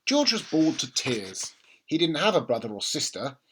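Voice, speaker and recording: Animated man reading a children's story. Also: a little high, normal speed, background noise. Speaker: storybook reader. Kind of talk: expressive children's book narration.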